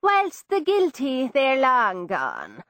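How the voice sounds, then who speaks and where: A woman's voice: high-pitched, huge pitch swings, slow, fairly bright tone, no room sound, clean studio sound, full character voice. A woman voicing a genial male Irish constable, lilting and coaxing. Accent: Irish, put on.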